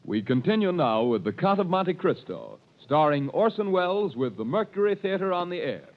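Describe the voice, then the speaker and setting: A man's voice: high voice, very animated, normal speed. A man announcing a radio drama in a formal 1930s broadcast style. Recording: muffled vintage radio broadcast.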